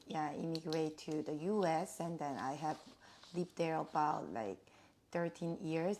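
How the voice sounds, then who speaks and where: A Korean-accented woman in her forties with a fairly low voice speaks in halting phrases full of fillers. Her first language is Korean, and she answers at home in a casual interview. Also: vocal fry, mellow, a little hiss or hum. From South Korea. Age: 40s. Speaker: guest.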